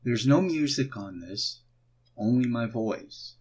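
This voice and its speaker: A man narrating a guided meditation, giving instructions in short, deliberate phrases. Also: medium pitch, animated, darkish tone, slightly rough.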